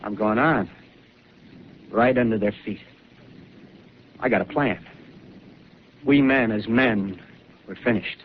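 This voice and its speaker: An actor playing a rough, scheming soldier who talks in rambling, feverish working-class slang, in a 1930s live radio drama over a tinny broadcast with hiss. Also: male voice, lowish voice, slow, pauses often.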